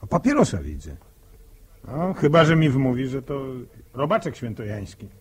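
A male actor playing a proud, uneasy young farmer in a 1960s radio drama, speaking in halting, rustic phrases. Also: mid-range voice, wildly swooping pitch, husky. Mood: wary. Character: proud, uneasy young village farmer, bitter about gossip. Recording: old 1960 radio broadcast recording.

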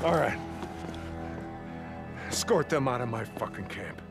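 An actor playing a defiant, gruff leader of a survivors' camp in a video game, blunt and forceful with a gravelly voice. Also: male voice, medium pitch, lively intonation, measured pace, over music. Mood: firm, defiant. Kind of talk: dramatic video game cutscene acting.

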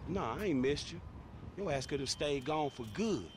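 An actor playing an irritable, sarcastic young American gangster in a video game cutscene. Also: male voice, a little high, lively intonation, normal speed, noisy background, lightly acted. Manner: brash, mocking, profane.

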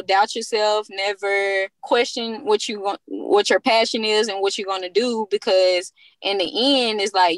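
A woman in her thirties, a business co-founder guest, speaking confidently and motivationally in relaxed phrasing. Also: mid-range voice, no room sound, poor audio. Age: 30s. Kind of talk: casual interview chat.

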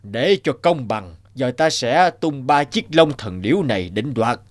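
A man voicing an aging, authoritative king with a deliberate regal manner in a cartoon. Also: medium pitch, animated, faint music behind, natural voice. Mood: solemn. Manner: slow, regal.

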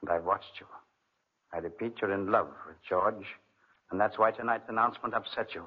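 An actor playing a sly, manipulative older guardian uncle in an insinuating, coaxing 1940s radio drama style. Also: male voice, on the low side, brisk, husky. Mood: sly persuasion. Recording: muffled vintage 1940s radio recording.

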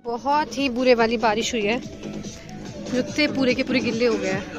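A woman's voice: fairly high pitch, normal speed, lo-fi sound, music in the background, crowd noise. A young woman doing casual, playful vlog commentary, mixing in English phrases, recorded on a handheld phone. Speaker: travel vlogger.

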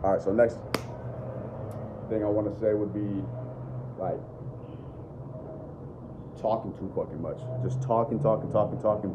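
A young man with a deep voice, talking blunt and profane off the cuff to his phone camera at home. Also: lo-fi sound, noisy background. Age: young adult. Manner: blunt, profane, repeats "bro" and "bottom line". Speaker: dating and self-improvement vlogger. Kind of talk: unscripted casual talk to camera. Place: living room at home. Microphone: phone camera at arm's length.

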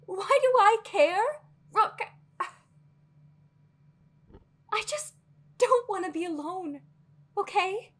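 A woman playing a weary, guarded sorceress in an audio roleplay, earnest and anxious, with a breathy, husky voice and hesitant, sighing phrasing. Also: extremely high pitch, lively intonation, slow pace, exaggerated voice. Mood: pleading, upset. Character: weary guarded female sorceress, anxious, fiercely logical, secretly lonely. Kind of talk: dramatic audio roleplay acting.

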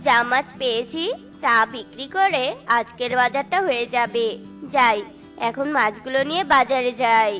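A woman's voice: fairly high pitch, normal speed, bright, forceful tone, soft background music. A woman voicing a poor, timid father bird in a children's cartoon, with a plaintive, humble manner. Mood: hopeful. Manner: plaintive, pleading.

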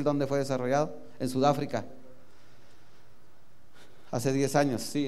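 A man moderating a conference forum on a handheld mic, speaking in a measured way and checking in with listeners. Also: mid-range voice, warm tone, clean tone. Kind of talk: conference introduction. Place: large hotel conference room. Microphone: handheld microphone.

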